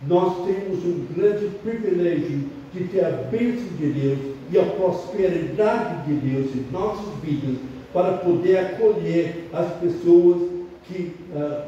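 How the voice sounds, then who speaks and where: Older foreign pastor preaching in echoey church. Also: male voice, sits high, slow, dark tone, slight background noise. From abroad, not Brazil. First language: not Portuguese. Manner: emphatic preaching with repetitions and restarts. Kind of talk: sermon. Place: church hall. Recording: very echoey church hall, distant muffled mic.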